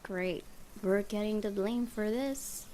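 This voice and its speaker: A woman's voice: medium pitch, takes it slowly, warm tone. A woman game streamer reading story text aloud and adding casual commentary. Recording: faint background noise.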